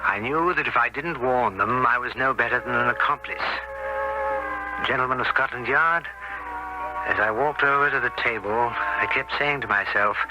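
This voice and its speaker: Actor as an earnest confessor, music behind. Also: male voice, medium pitch, natural voice. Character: earnest, troubled man narrating his confession letter. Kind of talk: radio drama narration. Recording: dull old radio recording over music.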